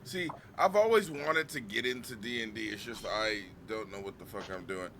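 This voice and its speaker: A man in his thirties, a streamer chatting casually, joking and swearing through lively banter. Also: fairly low pitch, moderate pace, slight background noise. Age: 30s. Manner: joking, profane, animated banter. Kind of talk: casual chat.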